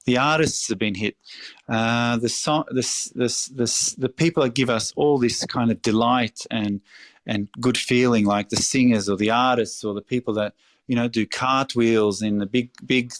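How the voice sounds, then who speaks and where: A man's voice: mid-range voice, steady tone, normal speed, no echo. Australian man, middle-aged, passionate, emphatic, dry close sound. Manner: passionate, emphatic, swears freely. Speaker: restaurateur guest. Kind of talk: casual interview talk.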